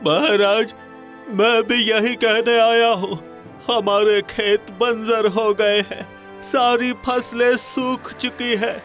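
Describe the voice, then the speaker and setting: A man's voice: very high voice, flat delivery, takes it slowly, fairly bright tone, soft background music, heavily acted. A man playing a distressed village farmer in a cartoon folk tale, pleading plaintively. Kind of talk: dramatised cartoon storybook dialogue.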